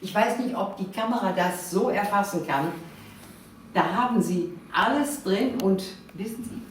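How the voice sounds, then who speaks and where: A woman's voice: medium pitch, animated, moderate pace. Strongly reverberant, off-mic sound: female host in her seventies, conversational, emphatic delivery. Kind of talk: conversational interview. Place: room, filmed conversation. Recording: echoey, distant, noisy room.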